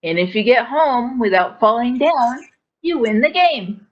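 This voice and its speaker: A woman's voice: fairly high pitch, lively intonation, measured pace. A woman playing a childlike, sweetly sinister clown in an audio drama, on a dull lo-fi microphone. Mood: playful, instructing. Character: sweetly sinister, playful female clown Clara. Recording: dull, narrow lo-fi microphone sound.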